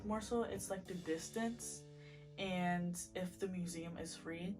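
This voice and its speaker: A woman's voice: on the low side, slow. Young woman, loose filler-heavy talk. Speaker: interviewee. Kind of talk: casual recorded interview. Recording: distant mic with music bed.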